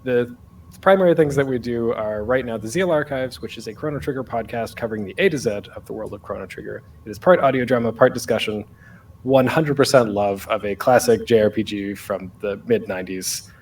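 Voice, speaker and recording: A man's voice: mid-range voice, a little fast, slight background noise. A man presenting a casual online panel as a podcaster, speaking off the cuff in a rambling, self-deprecating, jokey way.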